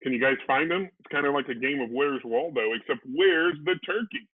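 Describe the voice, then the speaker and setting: A man reading a children's storybook aloud in an expressive narrating style. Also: medium pitch, brisk, slightly rough, dry recording. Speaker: storybook reader. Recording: narrow phone-like sound, dry.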